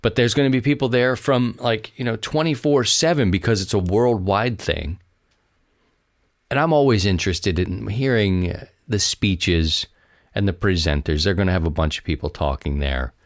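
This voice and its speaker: A man with a low, deep voice talks on his own as a podcast host. His delivery is casual and conversational, rambling along as he speaks straight to his listeners. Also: moderate pace, no room sound, studio quality. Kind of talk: casual solo podcast talk.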